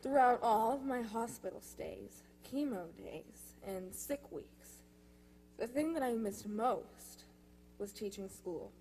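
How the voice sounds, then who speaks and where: A young woman with a fairly high voice gives a prepared personal speech. She phrases it deliberately, like written storytelling read aloud. The live recording carries faint noise and a hum. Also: lively intonation, dark tone, low-quality recording. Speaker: youth speaker at a church service. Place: church, speaking to a congregation.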